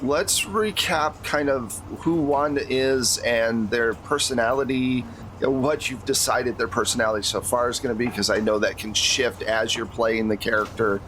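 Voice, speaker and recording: A man's voice: mid-range voice, moderate pace, a bit bright, slight background noise, low-quality recording. An American man acting as game master, talking casually and conversationally as he narrates a tabletop role-playing game. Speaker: game master of an actual-play podcast.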